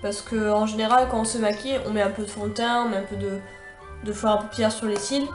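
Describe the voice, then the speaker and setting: A woman's voice: mid-range voice, little pitch movement, fast, echoey room, soft background music. A young woman with a cold and a stuffy nose, chatting her way through a makeup tutorial with many fillers and restarts. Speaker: beauty vlogger.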